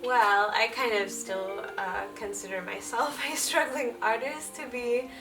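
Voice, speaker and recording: A woman's voice: fairly high pitch, a little slow, far from the mic, poor audio. A young woman whose first language is Finnish, chatting casually and laughing lightly as she answers viewer questions. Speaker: illustrator vlogger. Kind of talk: casual Q&A chat. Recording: voice set back from the mic, music underneath.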